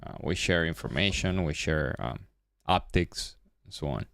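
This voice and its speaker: A man from Venezuela, hosting a day-trading livestream and chatting casually with viewers, often repeating words and trailing off low. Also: expressive, a little slow, vocal fry, fairly bright tone, no room sound.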